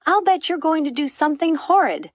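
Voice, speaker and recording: A woman's voice: high-pitched, big pitch swings, a little fast, no room sound, pitched above the performer's own voice. A woman voicing a friendly, fussy hippopotamus in a storybook, exclaiming emphatically and easily appalled. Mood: curious, wary.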